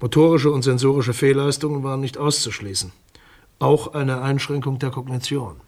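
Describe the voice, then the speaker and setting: A man acting as a public prosecutor in a radio crime drama, with a medium-pitched, slightly husky voice. He is formal and guarded, setting out facts in precise, dry official language. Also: fairly even intonation, moderate pace, a little mellow, lightly acted. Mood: factual, firm.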